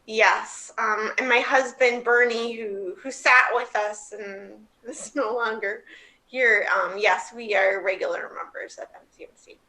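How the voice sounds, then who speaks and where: A woman with a bright, fairly high voice speaks up on a video call. She is friendly and a bit hesitant, with light laughs. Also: fairly even intonation, a little slow, poor audio. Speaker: participant. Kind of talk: casual remarks on a video call.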